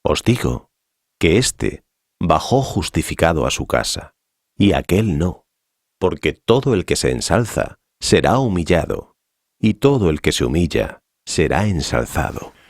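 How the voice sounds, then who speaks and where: Very deep solemn teacher, acted by a man, authoritative, measured, didactic, dry sound, dramatized scripture. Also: very animated, no room sound, heavily acted. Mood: solemn teaching.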